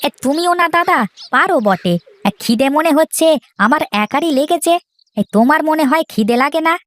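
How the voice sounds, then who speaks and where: A woman's voice: high-pitched, animated, normal speed, fairly bright tone, no echo, clean studio sound. A woman voicing an impatient, cheeky farmer brother in a children's cartoon, whining and complaining. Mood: grumbling, cheeky. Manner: whiny, complaining, interjects often. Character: impatient, grumbling, cheeky middle brother of farming family.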